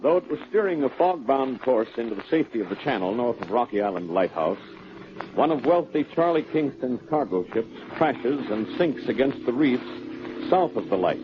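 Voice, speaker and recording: A man's voice: mid-range voice, big pitch swings, normal speed, noisy background. A man narrating as a 1940s radio drama announcer in a formal, dramatic manner. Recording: muffled old radio recording. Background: music.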